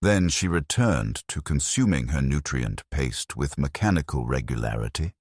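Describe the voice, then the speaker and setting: A man narrating a scripted first-person story in a measured, grave manner. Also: very deep voice, husky, no room sound, clean studio sound.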